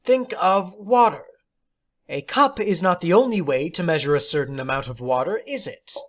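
A man's voice: high-pitched, lively intonation, moderate pace, pitched above the performer's own voice. A man voicing an eccentric, jolly woman substitute teacher in an audiobook story, precise, theatrical and condescending. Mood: airy, breezy.

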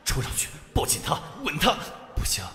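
A man's voice: moderate pace, pushed and bright, lightly acted. A man playing a dramatic, lovestruck young male student who protests theatrically in an audio drama. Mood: dramatic monologue. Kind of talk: amateur Chinese audio drama acting.

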